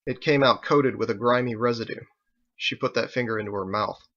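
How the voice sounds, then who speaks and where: A man's voice: mid-range voice, fairly quick, fairly bright tone, highs cut off. A man reading scripted audiobook narration as the story's narrator. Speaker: author narrating his own story.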